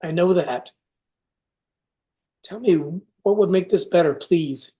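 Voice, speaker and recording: A man's voice: high-pitched, slow pace, mellow. A middle-aged man playing a remorseful, pleading father of an estranged adult son in a staged reading of a play. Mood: earnest, apologetic. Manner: earnest, pleading, apologetic.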